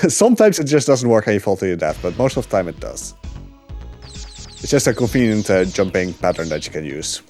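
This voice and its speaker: A man giving relaxed live commentary on his speedrun, explaining the route with frequent fillers. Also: fairly low pitch, moderate pace, faint music behind.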